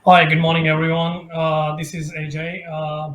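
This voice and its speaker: A man's voice: fairly high pitch, completely flat delivery, moderate pace, fairly bright tone, echoey room. A man from India, a new citizen speaking earnestly with many uhs over a video call.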